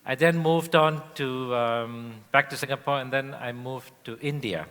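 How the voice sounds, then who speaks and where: Bright-voiced man telling his story on stage. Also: mid-range voice, a little slow. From Indonesia. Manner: relaxed, anecdotal, with frequent fillers. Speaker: guest speaker. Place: large event hall on stage. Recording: long hall reverb.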